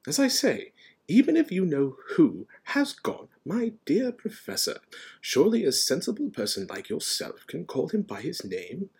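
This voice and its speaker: Man voicing a gentle, husky old wizard. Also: on the high side, wildly swooping pitch, pitched above the performer's own voice, exaggerated voice. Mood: mild, reasoning. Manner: mild, courteous, unhurried. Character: gentle, calm, whimsical old male wizard. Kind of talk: audiobook character voice.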